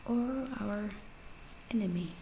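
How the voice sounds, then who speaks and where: A woman playing a calm, curious alien researcher in an ASMR roleplay, speaking softly in halting, deliberate phrases, often whispering. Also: mid-range voice. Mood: calm, inquisitive. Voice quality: soft, breathy, often whispered. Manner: slow, deliberate, halting, clinical and detached. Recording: roomy echo, faint background noise.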